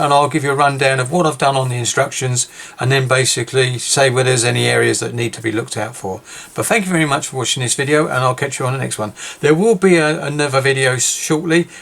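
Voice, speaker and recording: A British man of average pitch talks through a model kit in a loose, chatty way. He rambles, restarts and corrects himself as he goes. His voice sounds a little worn. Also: vocal fry, a bit bright. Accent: British English. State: losing his voice. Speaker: scale model builder. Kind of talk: casual kit review video.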